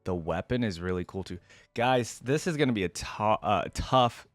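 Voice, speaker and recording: A man's voice: lowish voice, animated, normal speed, dry recording, faint music behind. A man giving casual, informal reaction commentary, talking in a slangy, enthusiastic way as the host.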